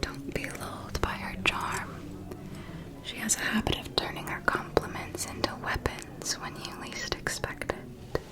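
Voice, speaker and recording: A woman playing a refined, shrewd vampire noble who gossips in an elegant, deliberate manner in a whispered ASMR roleplay. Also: lightly acted. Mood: cool, guarded. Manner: slow, deliberate, elegant, hushed insinuation.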